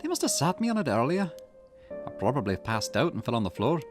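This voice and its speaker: A man's voice: medium pitch, very animated, fast talker, music in the background, close to an everyday voice. A man voicing an anxious young woman with amnesia in a visual novel game, puzzling things out aloud. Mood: puzzled, wary. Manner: puzzled, thinking aloud while investigating.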